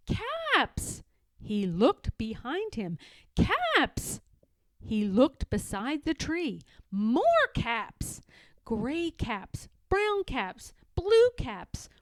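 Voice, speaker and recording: A woman reading a children's story aloud in a dramatic, deliberate way, stressing key words. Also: high-pitched, no room sound. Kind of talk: children's read-aloud narration.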